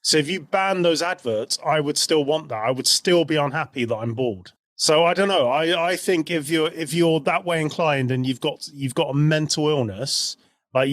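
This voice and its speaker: A British man talks in the informal, opinionated way of a podcast co-host. His voice sits at a middling pitch. The recording is dry, with very little room sound. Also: a bit bright, no room sound. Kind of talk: casual chat.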